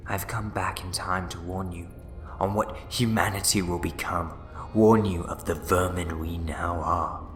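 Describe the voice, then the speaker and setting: A man playing a scheming, bitter renegade Time Lord in a sci-fi audio drama, speaking in theatrical, condescending, deliberate speeches. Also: sits low, fairly even intonation, echoey room, faint music behind. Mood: grave, confiding.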